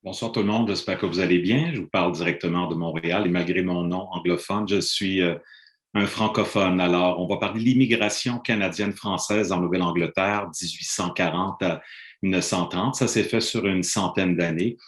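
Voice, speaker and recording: A middle-aged man presents to an online panel, his voice low and dark. He explains in a measured, lecturing way and stops often on drawn-out "euh" hesitations. He sounds roomy, as if speaking into a laptop in an echoing room over a video call. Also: fairly even intonation. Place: room in Montreal, remote presentation.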